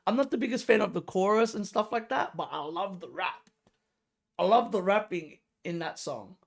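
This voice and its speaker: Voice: mid-range voice, lively intonation, normal speed, vocal fry. A reaction video host giving casual, slangy music commentary, emphatic and repetitive, often throwing out rhetorical questions. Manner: informal, slangy.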